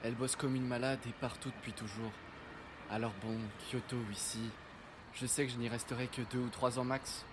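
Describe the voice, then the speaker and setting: A man's voice: medium pitch, level tone, fairly quick, background noise, close to an everyday voice. A man playing a shy teenage boy. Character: shy teenage boy, new in town, forgetful. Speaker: lead character. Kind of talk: fan audio drama acting. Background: music.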